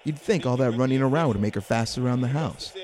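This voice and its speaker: An actor playing a gruff, pushy, opinionated man who scorns and needles, in an audio drama. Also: mid-range voice, fast, crowd noise, close to an everyday voice. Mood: blunt, dismissive. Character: gruff, pushy older-brother type, scornful football fan.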